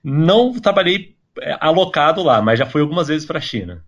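A man's voice: fairly high pitch, sing-song, normal speed, fairly bright tone, highs cut off. A man from Brazil, a guest in a casual podcast interview, explaining at length with frequent fillers and tag questions. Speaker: interview guest, tech company employee.

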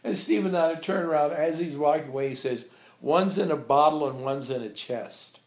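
A man's voice: a little high, normal speed. An older man informally telling anecdotes to a small group, animatedly acting out the dialogue he quotes. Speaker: monk and abbot recalling his teacher. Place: echoey room with a few visitors. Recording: echoey room recording.

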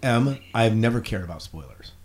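A man's voice: medium pitch, expressive, normal speed. An American man hosting a podcast, chatting casually in an easygoing, agreeable way. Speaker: podcast host. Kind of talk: casual chat.

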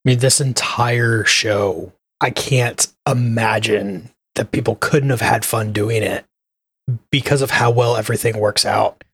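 A man's voice: fairly low pitch, steady tone, measured pace, a bit bright, slightly rough, no echo. A man chatting casually as a panelist on a podcast.

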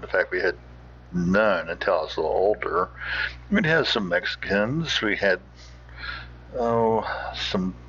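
A man's voice: on the low side, fairly bright tone, slightly rough, dull audio. A man hosting with casual, rambling, hesitant commentary in a folksy manner, thinking aloud. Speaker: host of an old-time radio show.

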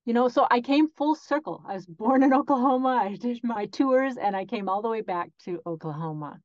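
A woman voicing a guest's answers as an interpreter in an interview, speaking in measured phrases with frequent pauses. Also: a little high, animated, a little mellow, dry recording. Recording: dry, slightly dull lo-fi audio.

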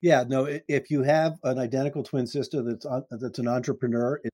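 A man's voice: medium pitch, steady tone, fairly quick, darkish tone, no room sound, studio quality. A middle-aged man hosting an interview in loose, casual chat, often restarting his sentences and laughing briefly. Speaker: podcast host.

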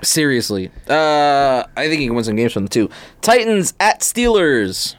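A man talking casual sports banter as a podcast guest, excitable, slangy and prone to swearing. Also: mid-range voice, moderate pace, no room sound. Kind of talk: casual sports banter, picking game winners.

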